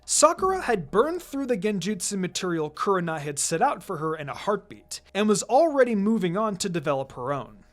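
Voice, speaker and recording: A narrator reading fan fiction aloud in an expressive, scripted storytelling style. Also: mid-range voice. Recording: music behind the voice. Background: background music.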